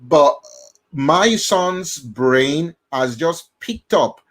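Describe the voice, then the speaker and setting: A man's voice: on the high side, animated, drawn-out words, fairly bright tone. Nigerian guy explaining brain stuff, emphatic, keeps repeating himself and going "all right?". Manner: emphatic, repeats key phrases, checks in with the listener. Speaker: host.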